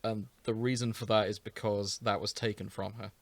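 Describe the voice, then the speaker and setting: A man's voice: on the low side, level tone, normal speed, vocal fry, dry recording. A man hosting a casual chat podcast, explaining a story in a storytelling way. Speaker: podcast host.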